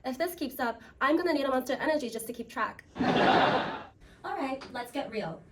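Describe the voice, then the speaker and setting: A woman's voice: high voice, expressive, normal speed, very noisy. A woman hosting a student role play like a game show, with a playful, jokey, theatrical scripted delivery. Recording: roomy, distant mic, loud music.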